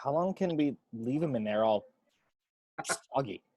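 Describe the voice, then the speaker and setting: Man playing an excitable, animated young speedster hero. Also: medium pitch, unhurried. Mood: starstruck, nervous. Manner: excitable, stammering, rattling off theories. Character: young, eager, nervous, fast-talking male superhero detective. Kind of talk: amateur table read of a superhero screenplay.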